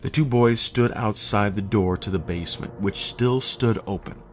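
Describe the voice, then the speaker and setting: Low-voiced man narrating horror, somber. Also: soft background music. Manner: measured, somber, literary delivery. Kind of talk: scripted first-person horror narration.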